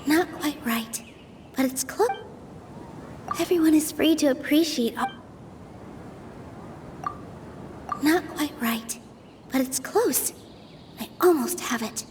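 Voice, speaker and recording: A woman playing a gentle, earnest young female performer in a video game dub. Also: high-pitched, a little slow, long pauses, noisy background. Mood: pondering.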